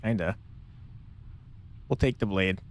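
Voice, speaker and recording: A man's voice: deep voice, slow, a little hiss or hum. A man streaming a game with casual commentary, reading choices aloud and chatting chummily back to the characters. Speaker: game streamer, let's player.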